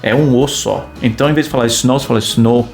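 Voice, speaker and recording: A man explains things in a playful, teasing way while co-hosting a casual talk, and keeps quizzing his partner. His voice is in a middle pitch. Soft guitar music plays behind him. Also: fairly even intonation, fast, vocal fry. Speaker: podcast co-host. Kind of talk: casual film chat.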